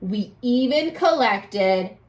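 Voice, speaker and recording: Female teacher for a kids' read-aloud: unhurried delivery, big expressive swings, invites children to answer. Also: a little high. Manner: expressive, exclamatory, coaxing children to respond. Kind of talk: animated children's read-aloud with questions to listeners.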